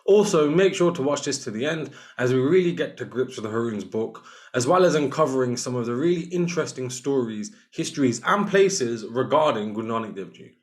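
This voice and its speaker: A man's voice: mid-range voice, animated, brisk, few pauses. A man hosting a podcast, reading an upbeat intro and then asking interview questions. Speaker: podcast host.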